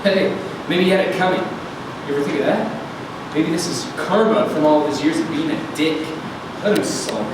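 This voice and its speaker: A man's voice: fairly high pitch, moderate pace, lightly acted. A man playing a brash, sarcastic teenage boy in a staged script reading. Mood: sharp, sarcastic. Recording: echoey, noisy room recorded from far off.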